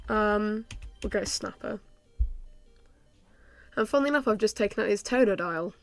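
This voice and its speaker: A young woman with a stuffy nose from a cold, casually commenting on her game and thinking aloud with many ums and soft laughs. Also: medium pitch, normal speed, no echo, faint music behind. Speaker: gaming YouTuber.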